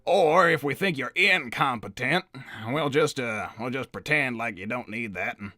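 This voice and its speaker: A man's voice: mid-range voice, moderate pace, bright, forceful tone, dry recording, clean studio sound, natural voice. A man voicing a genial, graying cartoon hedgehog who rambles hesitantly and apologizes as he is interviewed. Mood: candid. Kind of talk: improvised in-character interview.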